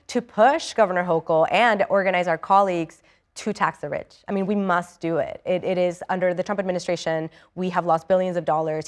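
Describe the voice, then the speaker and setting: A young woman from Ecuador, a newly elected assemblymember, answering questions in an earnest, eager way with many fillers and restarts. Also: lowish voice. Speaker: state assemblymember, interview guest. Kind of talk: live TV news interview.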